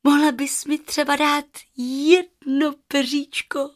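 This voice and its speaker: Woman as a sweet, high-voiced little girl. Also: level tone, lightly acted. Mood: grateful.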